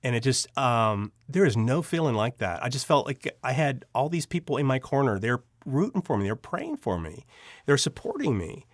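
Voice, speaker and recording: A man's voice: mid-range voice, very animated, normal speed, dry recording. Earnest man giving personal testimony, dry sound. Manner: earnest, emphatic, repeats himself and restarts sentences often. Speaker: interview guest. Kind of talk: personal testimony in a casual interview.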